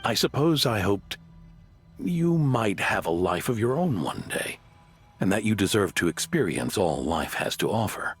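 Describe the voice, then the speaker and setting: A kindly inventor from a video game, gentle and a little hesitant as he explains. His voice is on the low side with animated rises and falls, over soft music. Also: measured pace. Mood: hesitant, tender. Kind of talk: video game character acting.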